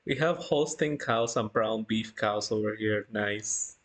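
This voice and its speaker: Livestream host, mid-range voice, casual and giggly, talks in halting bursts while reading chat. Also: medium pitch. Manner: giggly, halting, breaks into laughter often.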